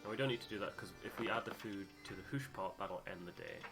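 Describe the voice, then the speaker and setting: A man's voice: fairly low pitch, quick pace, loud music behind the voice. A man hosting a game playthrough, narrating scenes and explaining the rules.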